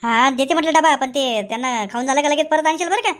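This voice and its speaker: Cartoon housewife, woman actor: high voice, nagging, grumbling. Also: fast, a bit bright. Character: grumbling village housewife, a fugitive's wife. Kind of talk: cartoon comedy dialogue. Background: faint music.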